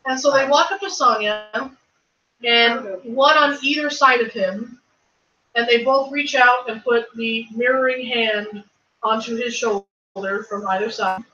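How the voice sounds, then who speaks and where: High-voiced woman game master, swearing casually. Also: a little slow. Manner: casual, swears, lively storytelling. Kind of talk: narrating a tabletop game. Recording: echoey room, distant lo-fi mic.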